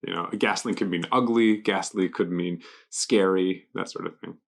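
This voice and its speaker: A man casually explaining English vocabulary off the cuff, pausing to think and offering loose examples. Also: steady tone. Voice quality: gravelly, low. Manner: halting, thinking aloud with examples. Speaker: guest explaining English words. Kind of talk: casual unscripted word explanations.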